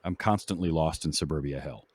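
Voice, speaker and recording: A man's voice: extremely low pitch, brisk, breathy edge, no room sound. A man hosting a casual podcast discussion about films. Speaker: podcast host.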